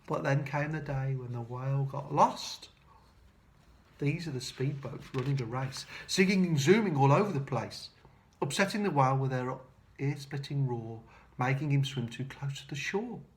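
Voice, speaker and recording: A man's voice: mid-range voice, normal speed. Man reading a rhyming storybook expressively. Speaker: children's storyteller. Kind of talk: expressive read-aloud of a rhyming picture book.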